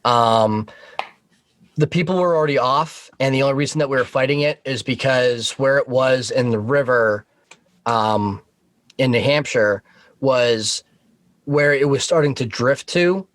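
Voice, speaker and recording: A man tells work stories as a guest on a casual talk show. His language is informal and sweary, his pitch sits in the middle, and the sound is dry and close. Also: measured pace, dry recording. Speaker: podcast guest, Coast Guard boat operator.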